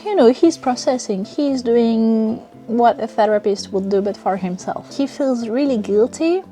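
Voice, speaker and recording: A woman's voice: medium pitch, mellow. A young French woman with a French accent, casually rambling and frank as she reviews books and films from a cluttered room at home. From France. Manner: rambling, frank, laughs often. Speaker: book vlogger.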